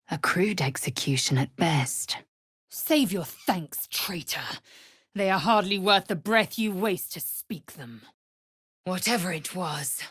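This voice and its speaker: A proud, fierce alien warrior woman from a fantasy video game. Her voice sits on the low side and swings widely in pitch. She speaks in clipped, formal phrases, haughty and scornful, with a husky grain. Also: a bit bright, no echo, studio quality, exaggerated voice. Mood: low, menacing, then curt, resolute. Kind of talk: video game character voice lines.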